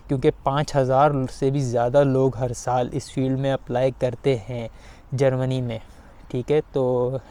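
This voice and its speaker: A man giving an informal explainer to viewers, speaking haltingly with repeated filler phrases. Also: medium pitch, mellow. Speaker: video guide host.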